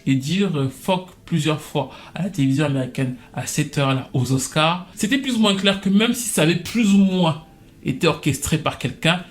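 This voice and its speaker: The slightly high-voiced guy fronting an online pop-culture channel, chatting playfully through the latest gossip and sponsor plugs in slangy, colloquial style. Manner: colloquial, playful, mixes English slang into French. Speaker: online video commentator and host. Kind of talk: casual scripted pop-culture commentary with sponsor read.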